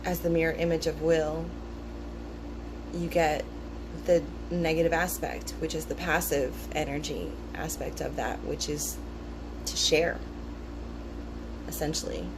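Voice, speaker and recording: Woman, low-pitched, unhurried casual reader-rambler, steady background hum. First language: English. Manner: relaxed, thoughtful, with frequent ums and pauses. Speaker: vlogger discussing esoteric teachings. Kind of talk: casual rambling talk mixed with reading aloud.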